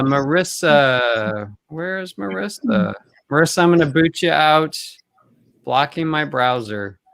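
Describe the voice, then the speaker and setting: A man's voice: on the high side, fairly bright tone, no room sound. A man hosting a virtual game show live over a video call in a casual, off-the-cuff way.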